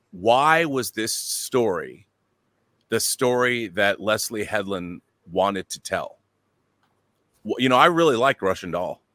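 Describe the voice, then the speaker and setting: A man's voice: fairly low pitch, a bit bright, no echo. Emphatic man giving opinionated commentary, dry sound. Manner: emphatic, repeats himself, rhetorical questions. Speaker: show panelist.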